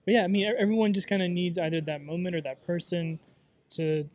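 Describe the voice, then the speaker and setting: Man in his late twenties, slightly high voice, casual chat, repeats words. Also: a little mellow. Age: 20s. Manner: repeats words, quick agreeing fillers.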